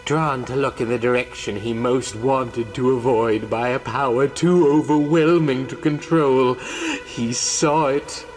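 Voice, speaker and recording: A man's voice: mid-range voice, fairly even intonation, unhurried, faint music behind, rough recording. A man narrating a horror comic in a grave, dramatic, scripted storytelling style. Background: musical score.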